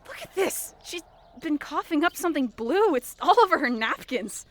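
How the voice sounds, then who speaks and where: A woman's voice: high voice, big pitch swings, normal speed, fairly bright tone. A woman playing an inquisitive, easily alarmed young reporter in an audio fiction podcast, exclamatory and full of questions.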